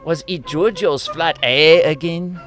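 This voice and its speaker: A man's voice: on the high side, slow pace, a bit bright, faint music behind. A man playing a wry, gossipy member of an opera troupe in a comic audio drama. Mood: droll.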